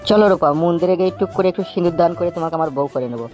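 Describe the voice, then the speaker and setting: A man playing a pushy, smooth-talking suitor in a comedy cartoon, coaxing and repeating himself. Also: high voice, rapid-fire, music in the background, close to an everyday voice. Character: smooth-talking pushy male suitor wooing an abandoned wife.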